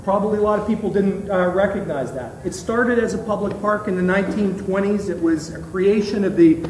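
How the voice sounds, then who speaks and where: A man giving an informal presentation to a group as a guest speaker, with halting, hesitant phrasing. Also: sits high, a little mellow. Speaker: guest speaker, marketing director of botanical gardens. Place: indoor event venue at botanical gardens. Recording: distant mic in echoey room, background noise.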